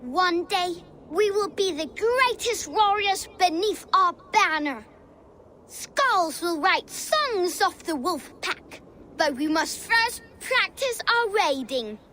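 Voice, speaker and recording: A woman's voice: very high voice, expressive, slow, sharp tone, a little hiss or hum, full character voice. A woman voicing an eager, boastful young child who plays at Viking raiding in a video game. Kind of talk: video game cutscene acting.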